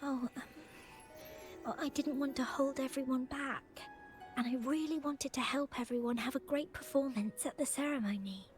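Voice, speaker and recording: A woman voices an earnest young choir singer full of self-doubt, in scripted game dialogue. The voice is high and childlike, with music clearly behind it. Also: moderate pace, close to an everyday voice. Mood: shy, earnest.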